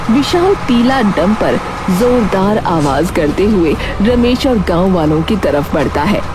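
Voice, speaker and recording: A woman narrating an animated story in a dramatic, scripted storytelling style. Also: lowish voice, background noise.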